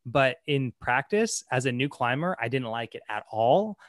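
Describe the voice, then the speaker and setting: A man chatting casually on a podcast, agreeable and quick to chime in while the other person talks. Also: medium pitch, expressive, dry recording, studio quality. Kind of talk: casual chat.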